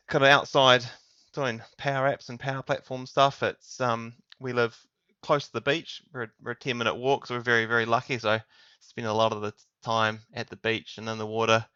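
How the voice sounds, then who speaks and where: A man's voice: medium pitch, bright, forceful tone, clean tone, no echo, dull audio. A man in his thirties with a New Zealand accent, chatting casually as a podcast guest. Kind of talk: casual interview chat.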